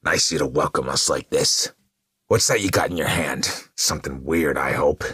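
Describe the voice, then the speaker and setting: A man voicing a shapeshifting monstrous serial killer in an audiobook, a loud, mocking, theatrical showman full of gleeful menace. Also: extremely low pitch, normal speed, breathy edge, no echo, clean studio sound, full character voice. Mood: mocking delight.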